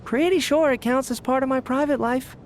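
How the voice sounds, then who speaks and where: A man's voice: very high voice, a little fast, slight background noise. A man voicing a friendly, fussy young official in a game, sociable and easily flustered. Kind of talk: game voice acting.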